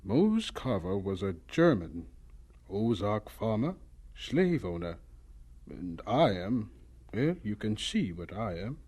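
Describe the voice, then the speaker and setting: An actor playing a dignified, wry old American scientist who reminisces in a deliberate, folksy way in a radio drama. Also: male voice, fairly low pitch, big pitch swings, a little mellow, slightly rough, exaggerated voice. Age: older.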